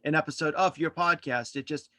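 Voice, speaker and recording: Male podcast host as interview guest, conversational, sharp bright voice, close dry sound. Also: fairly high pitch, fairly quick, no echo. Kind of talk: casual interview chat. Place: indoors at home, on a video call.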